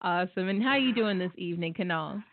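A woman's voice: fairly low pitch, quick pace, a little hiss or hum. A woman hosting a talk show interview, upbeat and affectionate, who calls her listeners "loves". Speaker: show host. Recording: tinny phone line.

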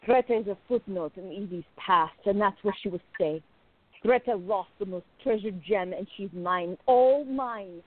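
A woman playing a jealous, besotted young woman confiding in her diary in a radio play, intimate, expressive and musing. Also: medium pitch, normal speed, natural voice. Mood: possessive, devoted. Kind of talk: dramatic first-person diary narration in a radio play. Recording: narrow phone-like lo-fi sound.

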